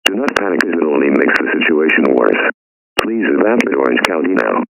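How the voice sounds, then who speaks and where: Gravelly, deep synthetic male warning voice. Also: sing-song, brisk. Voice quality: synthetic text-to-speech voice. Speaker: emergency alert announcer. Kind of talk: scripted emergency warning announcement. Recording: noisy radio-style hum and static.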